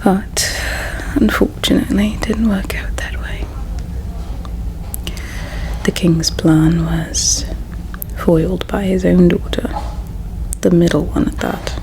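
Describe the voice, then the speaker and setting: A woman whispers very slowly in an ASMR roleplay, playing a solemn, resigned alien princess. Her voice is breathy and soft, with creak at the edges. She tells her story in a hushed, halting way. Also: fairly low pitch, steady tone, rough recording. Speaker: ASMR performer.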